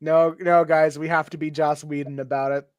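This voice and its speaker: A man's voice: on the high side, little pitch movement, moderate pace, smooth, dry recording. Chatty man reading a script. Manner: playful, chatty, laughs often. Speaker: podcast host. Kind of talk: reading a script aloud with commentary.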